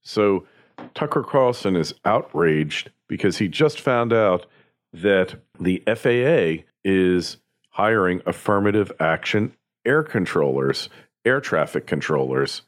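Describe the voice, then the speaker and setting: A man's voice: low voice, animated, slow. An American man delivering opinion commentary as a podcast host, in an emphatic, opinionated way. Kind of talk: opinion commentary, partly scripted monologue.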